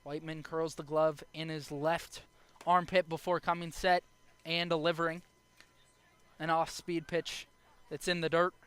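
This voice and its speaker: High-pitched young American baseball announcer, crisp and bright. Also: male voice, level tone, measured pace. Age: young adult. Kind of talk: live baseball radio and TV play-by-play commentary.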